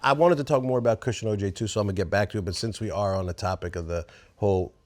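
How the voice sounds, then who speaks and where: A man's voice: on the low side, rapid-fire, crowd noise. A man in his forties who hosts a casual chat, talking loosely and swearing freely. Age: 40s. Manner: animated, swears freely, calls people bro. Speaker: host.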